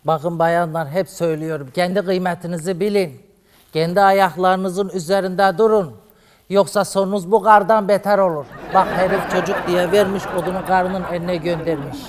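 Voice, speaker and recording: A man's voice: high voice, fairly even intonation, moderate pace, long reverb tail, a faint crowd, voice pushed up, close to an everyday voice. A man playing a flamboyant female TV fairy hostess, teasing and brassy, in a comedy sketch. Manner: teasing, flamboyant, calls women "sister". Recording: studio audience behind.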